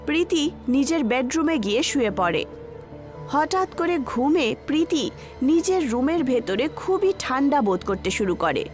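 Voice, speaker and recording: A woman's voice: sits high, sing-song, normal speed, darkish tone. Woman narrating horror, music behind. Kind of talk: scripted horror story narration.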